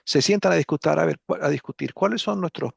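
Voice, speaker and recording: Author on a panel, gravelly male voice, measured delivery, dry close mic. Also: fairly high pitch, dry recording. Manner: measured, interprets for a colleague. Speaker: book author. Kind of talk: panel discussion remarks.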